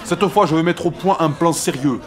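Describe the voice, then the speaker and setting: A man's voice: on the high side, normal speed, soft background music, a faint crowd, natural voice. A man playing a frustrated young French First World War corporal, blunt and argumentative. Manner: blunt, exasperated, argues hard. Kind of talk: amateur comedy audio drama.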